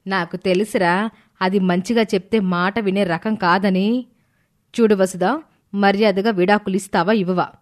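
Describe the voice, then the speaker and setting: A woman's voice: medium pitch, steady tone, moderate pace, lightly acted. Woman playing a domineering, harsh mother-in-law. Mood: angry, bossy. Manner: harsh, bossy. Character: domineering, angry middle-aged mother-in-law.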